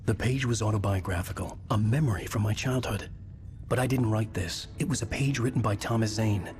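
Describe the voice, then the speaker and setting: A man's voice: fairly low pitch, brisk, darkish tone, faint music behind, natural voice. A man voicing an earnest, troubled male hero in a video game, speaking seriously. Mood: brooding. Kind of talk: video game dialogue and narration.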